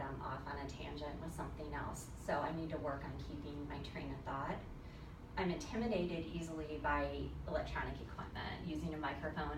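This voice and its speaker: A woman college student giving a class speech about her public speaking, earnest and prepared with a few fillers. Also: low-pitched. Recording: echoey room from far off with noise.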